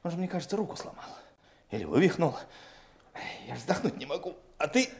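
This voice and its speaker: A man's voice: a little high, big pitch swings, fairly quick, creaky, reverberant, a little hiss or hum. A man playing a wheedling, blustering young prankster detective in a Soviet radio drama, with a whiny, cajoling manner. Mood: reproachful.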